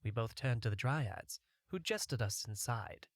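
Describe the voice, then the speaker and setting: A man's voice: fairly low pitch, lively intonation, unhurried, creaky, no echo, clean studio sound. A man reading a first-person fantasy adventure story as a scripted audiobook narration.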